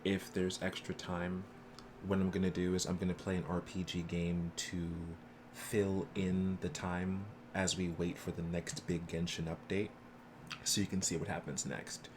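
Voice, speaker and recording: A man with a low voice talks casually to the viewers of his game stream. He sounds like he is explaining things off the cuff, with clear game noise behind him. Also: level tone, unhurried. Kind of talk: casual livestream chat with viewers.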